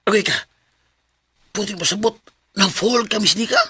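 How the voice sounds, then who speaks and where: A nosy best buddy in a radio drama, with a Cebuano accent. The voice is high and forceful, bluntly prying, in a dry recording. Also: male voice, normal speed, slightly rough, no room sound, full character voice. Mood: prying, scolding.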